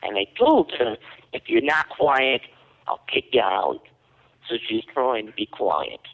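A man doing a raspy, muddled woman prank caller. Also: sing-song, a little slow, heavily acted. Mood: rambling. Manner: falsetto put-on woman's voice, rambling, hesitant. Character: confused raspy female prank caller claiming to be a young woman. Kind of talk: prank phone call. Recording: dull lo-fi phone-call recording.

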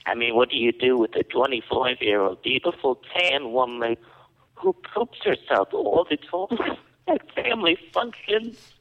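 A man putting on a confused, raspy woman caller who rambles slowly with a lively, swooping pitch. The sound is dull and lo-fi, like an old phone recording. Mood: rambling. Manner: falsetto put-on woman's voice, rambling, hesitant. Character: confused raspy female prank caller claiming to be a young woman. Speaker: prank caller. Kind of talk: prank phone call.

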